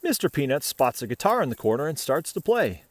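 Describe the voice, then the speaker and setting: A man reading a children's picture book aloud in an animated, playful way, chatting with and questioning the listener. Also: fairly high pitch, brisk. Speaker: picture-book reader, host.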